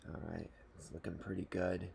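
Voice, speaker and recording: A man calmly explaining a fishing knot step by step at a desk indoors. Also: deep voice, creaky, slight background noise. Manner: calm, matter-of-fact, unhurried. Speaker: fly fishing tutorial host. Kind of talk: step-by-step instructional talk. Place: small indoor room at a desk.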